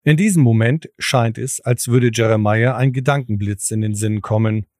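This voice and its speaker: A man's voice: mid-range voice, normal speed, a little mellow, no echo, clean studio sound. A man narrating a scripted audio drama in the role of storyteller. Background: background music.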